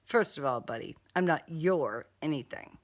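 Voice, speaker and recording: A woman's voice: deep voice, lively intonation, moderate pace, pitched below the performer's own voice. A woman voicing a tough, sarcastic young female cat burglar in an audiobook, slangy and defiant.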